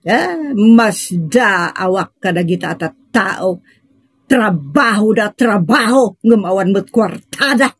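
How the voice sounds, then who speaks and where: Woman acting husky, exasperated Filipino daughter. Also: medium pitch, lively intonation, takes it slowly, no room sound, clean studio sound, exaggerated voice. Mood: complaining. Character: exasperated, outspoken grown daughter confronting her mother. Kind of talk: audio drama dialogue.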